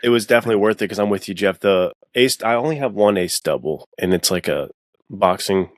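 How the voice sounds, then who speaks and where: Male podcast host, low voice, close dry sound, casual and rambling. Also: animated, brisk, no echo. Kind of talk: casual conversational interviewing.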